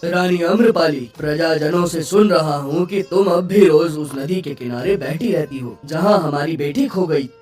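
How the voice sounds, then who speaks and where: A man's voice: a little high, moderate pace, few pauses, a little mellow, natural voice. A man voicing a concerned, reassuring king who speaks to his queen in a cartoon story. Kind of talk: Hindi cartoon story dialogue. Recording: distant sound with faint music.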